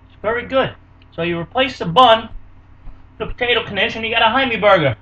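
A man hosts a home cooking show in a small kitchen. His voice is high and bright, with hammy, energetic patter. The audio is dull and lo-fi, picked up a little away from the camera mic. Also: fairly quick, distant mic. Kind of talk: casual home cooking video. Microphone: camera mic close by.

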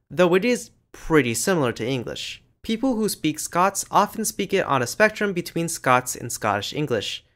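Guy doing a language explainer voice-over, kinda high voice, bouncy delivery. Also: fairly bright tone. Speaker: language channel narrator. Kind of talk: scripted educational narration.

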